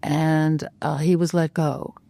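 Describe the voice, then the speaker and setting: Older actress guest with a low, dry voice; loose, filler-heavy anecdotes. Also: female voice, flat delivery, takes it slowly, gravelly, warm tone, no room sound. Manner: rambling, many "you know" fillers, restarts. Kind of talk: casual interview chat.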